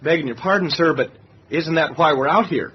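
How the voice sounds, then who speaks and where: A man's voice: on the high side, a little fast, slightly rough. An actor playing an eager, nosy young male navy yeoman in a radio drama, deferential yet cheeky. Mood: respectful, pushy. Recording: dull, lo-fi radio drama sound.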